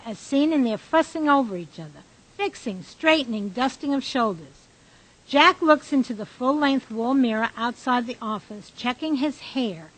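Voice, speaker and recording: A woman's voice: high-pitched, a bit bright, low-quality recording. A woman reading scripted narration and stage directions for a radio drama.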